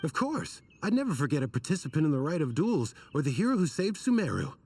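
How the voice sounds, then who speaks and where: An actor playing an earnest, troubled and apologetic desert scholar in a video game, wordy and theatrical in manner. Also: male voice, a little high, very animated, fast talker, a little mellow, slightly rough, distant mic, exaggerated voice. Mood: respectful. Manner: wordy, self-conscious, theatrical.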